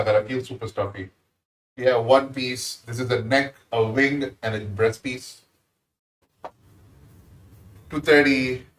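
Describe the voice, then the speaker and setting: A man with an Indian accent, casually chatting with viewers while cooking on a live stream. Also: mid-range voice. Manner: easygoing, chats with viewers while working. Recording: roomy sound, mic at a distance.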